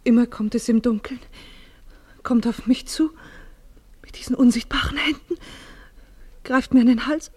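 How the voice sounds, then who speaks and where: An actress playing a tired, gentle, fearful wife in a 1950s German radio play, motherly and often hushed, with a breathy voice. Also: fairly high pitch, normal speed, pauses often. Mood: frightened.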